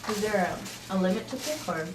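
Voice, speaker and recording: A woman's voice: medium pitch, expressive, brisk. A woman in her twenties, a student facilitator, introducing herself bashfully with giggles. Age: 20s. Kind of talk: casual self-introduction. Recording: echoey room, distant mic.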